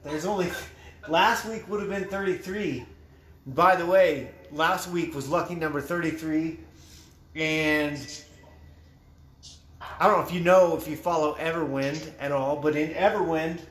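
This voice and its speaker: A man's voice: on the high side, unhurried, a bit bright. Chatty young-sounding man, echoey room. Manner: loose, chatty, swears casually. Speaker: host. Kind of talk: casual livestream podcast chat. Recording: echoey room with faint noise.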